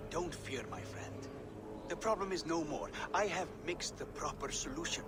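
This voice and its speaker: A man's voice: on the high side, lively intonation, moderate pace, loud music behind the voice, lightly acted. A man voicing a smug, boastful builder in a video game, bargaining with confidence and refusing to budge. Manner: confident, persuasive, unbending. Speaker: game character. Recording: game audio with loud noise.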